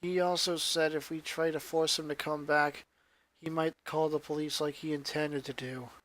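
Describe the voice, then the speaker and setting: Storybook role: subdued teen girl, read by a man, solemn, weary, slightly raised pitch. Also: little pitch movement, moderate pace, a bit bright, natural voice.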